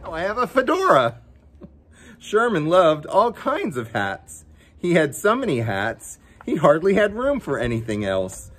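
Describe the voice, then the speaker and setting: Male children's story time reader: very animated, husky-edged, playful and chatty, speaking straight to the kids. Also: a little high, measured pace. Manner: playful, coaxing, talks directly to young viewers. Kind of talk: animated children's picture book read-aloud with chatty asides.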